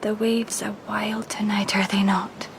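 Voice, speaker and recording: A woman's voice: medium pitch, level tone, measured pace, vocal fry. An actress playing a dazed, despairing young mother in a radio drama, vague and dreamy, often slipping into whispers. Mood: dazed, distant. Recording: noisy ambience behind the voice.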